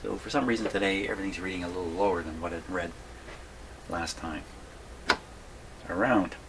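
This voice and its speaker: A man's voice: deep voice, steady tone, fairly quick. A man hosting a hands-on electronics repair video in his workshop, casually talking through his work and muttering to himself. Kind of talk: casual hands-on demonstration, thinking aloud. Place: home radio workshop. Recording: clear background noise.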